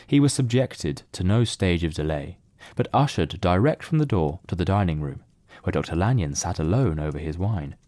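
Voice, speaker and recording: A man narrating a literary mystery as scripted audio drama, in a measured, solemn storytelling manner. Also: low-pitched, animated, mellow, no room sound.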